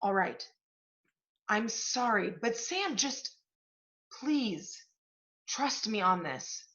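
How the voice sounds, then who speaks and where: Woman acting brash, bright-voiced literary agency boss. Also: fairly high pitch, expressive, very slow, dull audio, exaggerated voice. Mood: pleading. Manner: pushy, profane, salesy. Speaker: stage actor. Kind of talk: theatrical one-act stage play acting.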